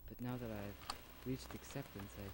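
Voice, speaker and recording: A man acting a solemn philosophical voice in an amateur afterlife audio drama, speaking in measured declarations. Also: on the low side, steady tone, heavy background noise, natural voice. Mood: solemn, reflective. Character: solemn philosophical male voice of the afterlife, musing on purpose. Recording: cassette dub under loud music.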